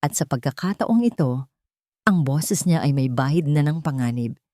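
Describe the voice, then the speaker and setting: A woman's voice: low voice, expressive, normal speed, dark tone, no room sound, clean studio sound. A woman reading a scripted romance story as a dramatic narrator.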